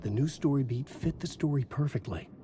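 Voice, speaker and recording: An actor playing a brooding, desperate male crime writer, narrating his own nightmare in deliberate first-person noir style in a game. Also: mid-range voice, heavy background noise, lightly acted.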